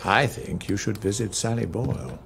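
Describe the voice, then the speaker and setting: An actor playing a cynical, condescending army officer in a dramatic video game. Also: male voice, lowish voice, normal speed, creaky, a bit bright, breathy edge, exaggerated voice. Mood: resigned, mocking. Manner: sardonic, condescending. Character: cynical, commanding male army officer, dismissive and sardonic.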